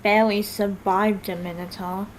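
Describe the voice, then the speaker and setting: A woman's voice: mid-range voice, little pitch movement, moderate pace, slight background noise, lightly acted. A woman playing a proud, sceptical, brainy teenage girl in an online table read of a stage musical.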